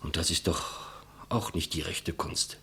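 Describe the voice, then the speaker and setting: An actor playing a humble, despised executioner in a 1950s German radio play, deferential, plain-spoken and reflective. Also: male voice, low-pitched, unhurried, vocal fry, bright, forceful tone, in a whisper, heavily acted. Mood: quiet, resigned. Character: humble, despised old-school male executioner, quietly matter-of-fact. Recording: muffled vintage 1959 radio recording.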